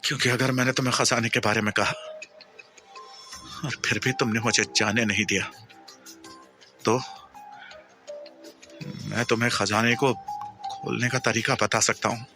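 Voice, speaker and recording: A man's voice: mid-range voice, flat delivery, normal speed, pauses often, sharp tone, soft background music. A man voicing a desperate captive alien in a human body, pleading and bargaining in an audio story. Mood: nervous bargaining.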